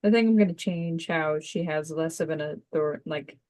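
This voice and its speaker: A woman's voice: deep voice, normal speed, a little mellow. A woman student giving thoughtful answers in casual chat, tentatively searching for her words. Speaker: voice acting student.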